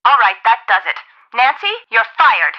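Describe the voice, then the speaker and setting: A woman's voice: fairly high pitch, sing-song, moderate pace, dull audio. An actress playing an imperious, short-tempered woman boss in a video game, exasperated and scolding.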